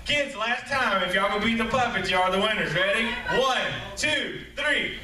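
A man leads a church children's program, calling out to the kids in an upbeat, rallying way. His voice sits fairly high, and it is heard from far back in an echoey sanctuary with noise around him. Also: expressive, moderate pace, a loud crowd. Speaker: children's ministry leader. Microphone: far camera.

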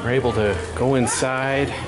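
A man in his forties hosting a casual travel vlog, slowly pointing out exhibits on a tour of an old fort. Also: mid-range voice, steady tone. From California. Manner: slow, easygoing, pointing out exhibits. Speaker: vlog host. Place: outdoors at a historic fort and inside its rooms.

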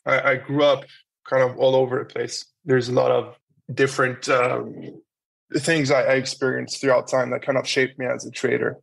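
A young man who grew up in France and Germany, answering interview questions in a halting way with many fillers. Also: medium pitch, level tone, gravelly, a bit bright, breathy edge, low-quality recording. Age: young adult. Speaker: trader guest.

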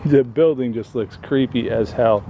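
A man's voice: mid-range voice, normal speed, a little hiss or hum. A man, a vlogger, casually narrating as he explores abandoned buildings, speaking to the camera. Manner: relaxed, talks to camera while walking.

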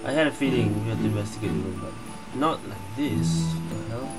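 A woman's voice: extremely low pitch, a little fast, rough recording. A woman giving casual playthrough commentary, reacting to herself in short phrases as she plays. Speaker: game streamer, player. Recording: loud game music behind voice. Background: guitar game music.

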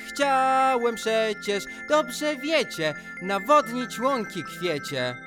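Woman as young cloud-herder boy, very slow. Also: mid-range voice. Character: young mischievous boy cloud-herding sky spirit, well-meaning. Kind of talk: children's musical storybook acting. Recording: music behind the voice.

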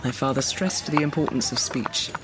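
A woman's voice: very deep voice, normal speed, background noise. A woman playing a well-spoken young plains warrior with formal, precise speech in a fantasy audio drama. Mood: polite, reserved.

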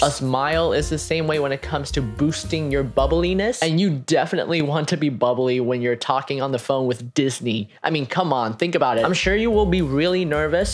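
A young American man giving conversational, scripted advice to camera in an upbeat, emphatic, friendly way. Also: on the high side. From United States (California). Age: young adult. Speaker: vlogger. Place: small home room at a desk, indoors. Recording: music behind the voice. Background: guitar music.

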